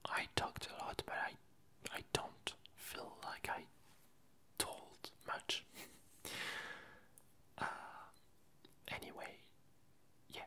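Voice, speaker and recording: Slow, bright ASMR whisper. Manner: hesitant, halting, self-correcting. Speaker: ASMR video creator. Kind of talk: whispered ASMR, casual rambling chat.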